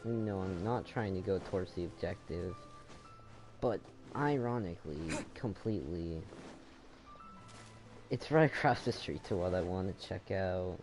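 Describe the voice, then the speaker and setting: A young man giving casual, informal gameplay commentary, often halting and repeating his words. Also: sits low, over music. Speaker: gaming streamer. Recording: noisy home microphone, clicks.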